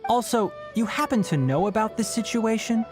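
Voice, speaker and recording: An actor as a calm, tactful scholar from a video game, with a lively, lilting pitch. The delivery is measured, articulate and reassuring, over soft background music. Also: natural voice. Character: calm, tactful adult scholar, caring and discreet. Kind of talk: scripted video game dialogue.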